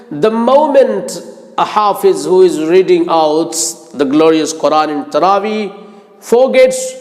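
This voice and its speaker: A man giving an instructive religious lecture as a teacher, deliberate and emphatic, repeating phrases for weight. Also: high voice. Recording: long hall-like reverb.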